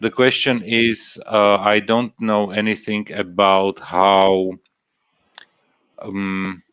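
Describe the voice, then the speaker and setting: Hesitant man with a low voice. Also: little pitch movement, slow pace, fairly bright tone, smooth, no room sound. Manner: hesitant, many fillers and restarts. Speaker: co-host answering chat questions. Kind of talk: casual stream chat. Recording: dull lo-fi stream audio.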